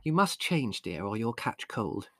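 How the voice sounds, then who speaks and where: A man's voice: lowish voice, sing-song, measured pace, fairly bright tone, no echo, studio quality, heavily acted. A man voicing a fretful, solicitous middle-aged mother in an audiobook story. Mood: meek fussing. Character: fussing, concerned, genteel middle-aged mother. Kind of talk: audiobook character voice.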